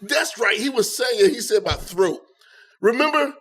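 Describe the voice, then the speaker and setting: A man's voice: high-pitched, lively intonation, moderate pace, a bit bright. A man co-hosting a casual comedy podcast, chatting and telling comic stories. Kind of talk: casual chat, comic storytelling.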